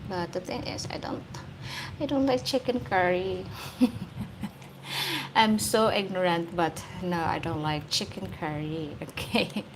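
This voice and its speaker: A woman from the Philippines with a Filipino accent hosts a casual livestream, hoarse-voiced, chatting, laughing often and greeting viewers by name. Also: lowish voice, a little slow, long pauses, background noise. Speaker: YouTube host. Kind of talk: casual livestream chat reading viewer comments.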